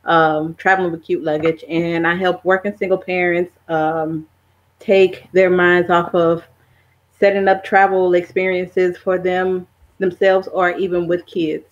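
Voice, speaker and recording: Slightly dull, lo-fi audio of a middle-aged woman with a lowish voice. She speaks as an interview guest, casual and conversational, feeling her way through her sentences haltingly. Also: little pitch movement. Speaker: podcast guest, travel content creator.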